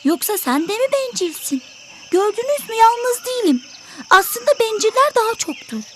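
A tiny selfish songbird for a children's cartoon, extremely high and lively in pitch. The bird is boastful, dismissive and always ready to argue. There is faint noise behind the voice. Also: female voice, moderate pace. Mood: defiant. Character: selfish, vain, argumentative little songbird. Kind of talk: children's educational cartoon acting.

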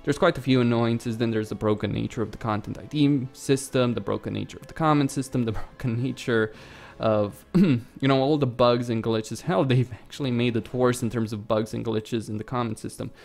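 A man's voice: medium pitch, steady tone, brisk, creaky, a little mellow. A man with a Romanian accent, a gaming YouTuber talking bluntly and swearing freely in casual commentary over gameplay.

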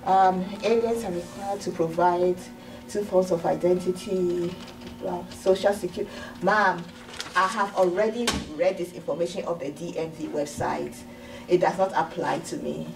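A woman acting an excitable, apologetic immigrant woman in a comedy sketch, with a Ghanaian accent. She gushes and restarts eagerly. The sound is roomy and distant, with a light hiss. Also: lowish voice, normal speed, creaky. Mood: pleading, insistent. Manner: gushing, hesitant restarts. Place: indoor office waiting room and counter. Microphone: far camera.

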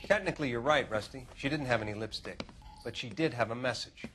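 An American man playing a matter-of-fact middle-aged police forensic examiner in a video game, precise and deliberate. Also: mid-range voice, a bit bright, a little hiss or hum, close to an everyday voice, poor audio. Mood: grim, factual. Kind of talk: video game cutscene acting.

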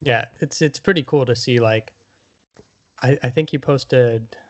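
A man's voice: mid-range voice, a little slow. A man hosting a casual podcast interview, talking in an informal, conversational way. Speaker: podcast host, small label owner.

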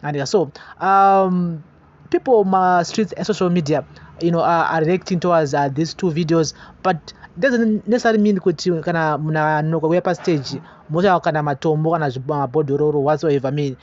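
A Zimbabwean man presents news reaction commentary in a fairly high voice. His delivery is halting, with repeated words. The recording is dull and lo-fi. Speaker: online gossip channel host. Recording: dull, lo-fi voice-over.

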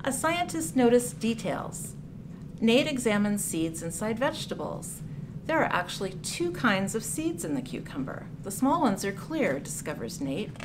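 A woman reading a picture book aloud for children, with deliberate, clearly phrased storytelling delivery. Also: on the high side, very animated. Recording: clear background noise, room ambience.

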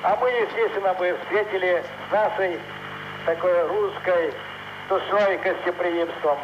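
A man answering live from a space station cabin over a headset, halting and searching for words as he talks. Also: high-pitched, fairly even intonation. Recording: muffled noisy space link.

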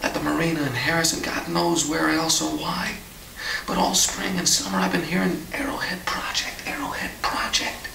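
An uneasy small-town war veteran in a radio drama. He speaks in a gravelly, hushed, halting voice, as if sharing secrets. The hissy tape sounds roomy and distant. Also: a little high, steady tone, exaggerated voice. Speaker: actor in an audio drama. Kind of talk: naturalistic radio drama acting.

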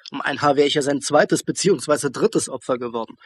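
A man's voice: fairly high pitch, brisk, a little hiss or hum, lightly acted. An actor in a radio crime play voicing an earnest, uneasy young male police student. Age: young adult. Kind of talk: German radio play dialogue.

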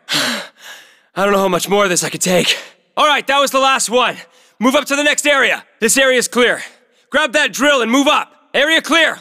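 An actor playing a tough squad soldier in a military shooter game, giving urgent, clipped battlefield callouts. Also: male voice, very high voice, sharp tone, in a large hall, full character voice. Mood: tense, watchful. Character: tough male soldier on a squad fighting aliens.

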